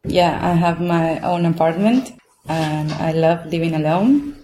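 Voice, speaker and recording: A woman from Argentina, a student talking in a relaxed way. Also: deep voice, a little slow, slight background noise, poor audio. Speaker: language student. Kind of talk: casual podcast interview.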